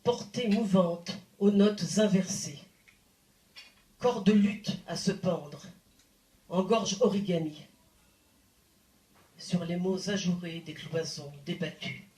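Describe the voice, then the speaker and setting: Woman, poetry recital, unhurried deliberate delivery, dramatic pauses, roomy live sound. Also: on the low side, steady tone, warm tone. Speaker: poet reciting. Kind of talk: expressive poetry recital with guitar. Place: indoor live poetry evening venue. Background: plucked guitar music.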